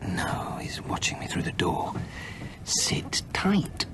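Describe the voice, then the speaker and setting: An actor playing an anxious, hunted British gentleman in a radio drama, nervous and hesitant, often muttering his thoughts under his breath. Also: takes it slowly, in a whisper, lightly acted. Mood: tense, furtive. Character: anxious, hunted gentleman steeling himself in secret. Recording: radio drama with clear background noise.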